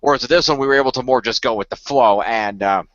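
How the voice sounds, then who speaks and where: A man's voice: medium pitch, no room sound, lo-fi sound. A man chatting casually in a group discussion, rambling and correcting himself as he goes. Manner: rambling, self-correcting, quick talker. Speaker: radio play cast member.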